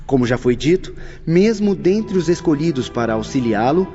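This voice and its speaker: A man narrates an audiobook formally and solemnly. His voice is fairly high yet soft and mellow, over faint music on a dull recording. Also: expressive, moderate pace.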